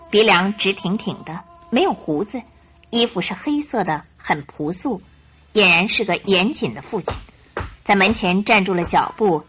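A woman narrator giving scripted, expressive Mandarin storytelling for a radio drama. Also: medium pitch.

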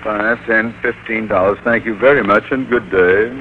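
An actor playing a pompous, blustering American school principal in middle age, in an old-time radio comedy. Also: male voice, fairly low pitch, steady tone, moderate pace, natural voice. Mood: gruff, overbearing. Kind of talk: 1940s-50s radio comedy acting. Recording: muffled, noisy vintage radio recording.

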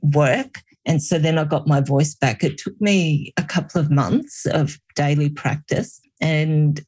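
A middle-aged woman speaks as a guest in an interview, recounting her own story with earnest reflection. She talks in an even, conversational way about her work as a healer. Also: deep voice, unhurried, husky.

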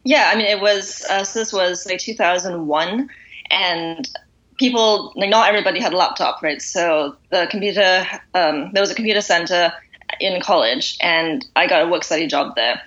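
A woman from Singapore, a podcast guest, talking in a relaxed, thoughtful way with many fillers. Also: lowish voice, moderate pace, lo-fi sound. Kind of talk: casual interview.

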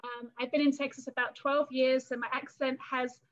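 Woman, thirties, English accent from the London area, high voice, chatty, laughs a lot, slightly muffled audio. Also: little pitch movement, normal speed. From England, London area. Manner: chatty, laughs often, quotes herself in little scenes. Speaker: cake artist and business owner, guest. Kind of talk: casual interview talk.

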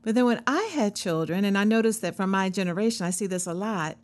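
A woman's voice: mid-range voice, fast. A woman in her fifties, a therapist hosting a show, talking through ideas in a conversational, earnest way with frequent asides. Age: 50s. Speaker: therapist and podcast host. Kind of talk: reflective solo talk.

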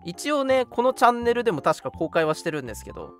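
A young man co-hosting a casual chat show, agreeable and quick to back up his partner. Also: sits high, sing-song. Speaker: radio show co-host, audio drama creator. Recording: background music under speech. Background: music.

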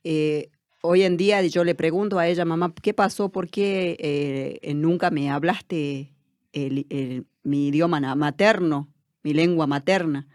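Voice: mid-range voice, unhurried, a little mellow, no room sound, studio quality. A person with an Argentine Spanish accent, speaking as an interview guest in a reflective way, with many fillers and restarts.

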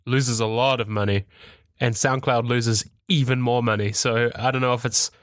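A man's voice: mid-range voice, steady tone, moderate pace, no room sound, studio quality. A man hosting a casual tech podcast, stuffed up from a cold, chatty and laughing often. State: congested with a cold. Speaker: podcast host. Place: Europe.

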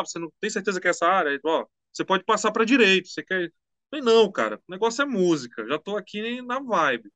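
Chatty high-voiced man. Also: dry recording. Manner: relaxed, slangy, chatty. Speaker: musician guest. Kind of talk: casual interview storytelling.

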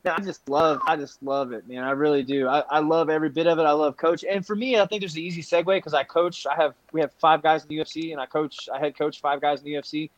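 A man's voice: on the high side, steady tone, fast, few pauses, dull audio, a little hiss or hum. A man in his thirties who coaches and fights, chatting casually on a podcast and repeating himself emphatically.

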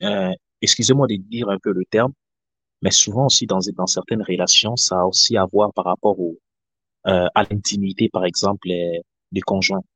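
A man from Africa calling into a live discussion, speaking politely with many hesitant pauses. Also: sits low, a bit bright, slightly rough, no room sound. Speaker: guest caller.

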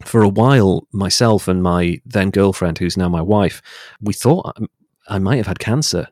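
Low-pitched man explaining, dry close sound. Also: moderate pace, a little mellow, no echo. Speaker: guest. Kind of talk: personal interview account.

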